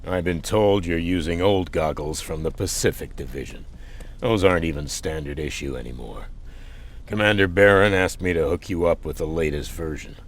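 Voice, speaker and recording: Very deep-voiced gruff army quartermaster played by an actor. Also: male voice, moderate pace, close to an everyday voice. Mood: businesslike. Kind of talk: video game voice acting.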